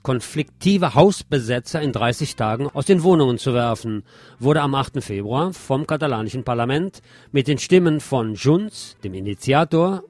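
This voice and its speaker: A man's voice: medium pitch, darkish tone. Male radio news presenter over guitar music. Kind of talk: scripted news reading with colloquial commentary. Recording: music behind the voice.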